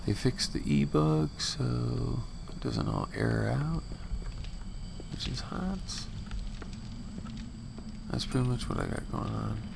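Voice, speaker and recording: Young man, gravelly raspy voice, slow laid-back slangy game commentary, noisy recording. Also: medium pitch. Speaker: gaming channel host. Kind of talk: casual gameplay commentary.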